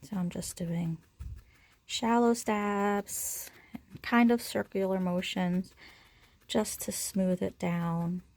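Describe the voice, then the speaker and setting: Woman craft instructor with a creaky voice. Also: medium pitch, fairly even intonation, slow pace, a little mellow. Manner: informal, talks through each action while working. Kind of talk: step-by-step hands-on demonstration, casual.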